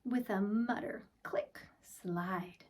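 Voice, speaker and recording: A woman reads a picture book to young children at a slow, deliberate pace. Her delivery is expressive, with soft phrase endings, and she sounds a little way off from the microphone. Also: medium pitch, recorded from a distance. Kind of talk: storytime read-aloud for children.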